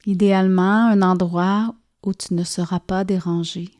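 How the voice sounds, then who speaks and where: A woman guides a yoga nidra relaxation, speaking softly and soothingly with gentle pauses. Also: medium pitch, steady tone. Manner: soft, soothing, gently pausing. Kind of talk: guided relaxation meditation narration.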